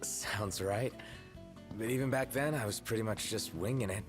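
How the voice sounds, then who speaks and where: A man's voice: on the low side, normal speed, music in the background, close to an everyday voice. A man voicing a laid-back young prince in a video game, casual and dry with an American accent. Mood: dryly teasing. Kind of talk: video game cutscene voice acting.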